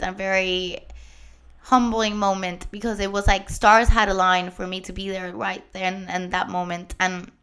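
A woman in her late twenties with a Puerto Rican accent, Spanish her first language, telling her own story earnestly with many pauses and restarts. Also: mid-range voice, bright, forceful tone, clear, resonant tone. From Puerto Rico. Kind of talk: personal story told to followers.

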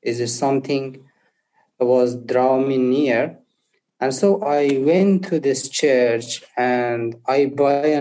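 Slow, halting man from Afghanistan. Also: mid-range voice, dark tone, rough recording. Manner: halting, searching for words in English. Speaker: interview guest. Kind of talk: personal testimony.

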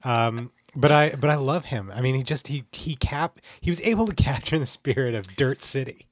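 A man's voice: medium pitch, lively intonation, normal speed, dry recording. Male Canadian host, medium register, dry recording, casual jokey delivery. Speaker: podcast host. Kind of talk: casual banter and questioning.

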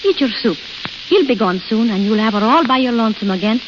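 A woman's voice: fairly high pitch, expressive, fairly quick, close to an everyday voice. An actress playing a bossy, motherly foreign cook who scolds and reassures in broken English, in old radio drama style. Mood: fussing, coaxing. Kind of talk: 1930s-40s radio drama acting. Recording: hissy, crackly, muffled old radio recording.

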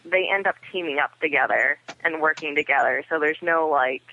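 A dry, thin phone line carries a man with a fairly high voice. He is a guest on a podcast, talking through his opinions in a casual way. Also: normal speed, vocal fry, sharp tone, slight background noise. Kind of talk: casual discussion. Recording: dry, tinny telephone line.

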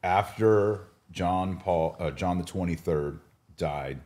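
Deep-voiced man, casual podcast host. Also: takes it slowly, a little mellow. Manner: informal, slangy. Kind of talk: casual conversational podcast discussion.

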